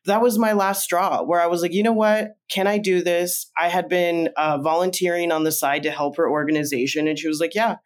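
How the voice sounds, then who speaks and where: A man originally from Colombia, a podcast guest, telling his story in a loose, meandering conversational way and jumping up in pitch when he acts out remembered lines. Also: a little fast, few pauses. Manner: animated, jumps up in pitch when acting out remembered quotes; loose and meandering, with frequent fillers and restarts.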